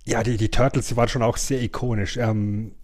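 A man's voice: lowish voice, steady tone, a little fast, darkish tone. A middle-aged man, co-hosting a podcast in an informal, explanatory way. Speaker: podcast co-host. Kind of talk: casual podcast chat.

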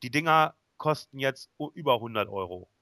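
A man's voice: medium pitch, lively intonation, moderate pace, gravelly, sharp tone, no room sound, studio quality. A man hosting a casual podcast chat, talking conversationally and often checking for agreement. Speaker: podcast host.

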